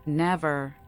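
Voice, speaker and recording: Gleeful mad vampire, wildly swooping delivery. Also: female voice, extremely high pitch, heavily acted. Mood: manic glee. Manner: singsong riddling, giddy, erratic. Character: mad young vampire woman, gleeful, cryptic, childlike. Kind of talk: video game voice acting.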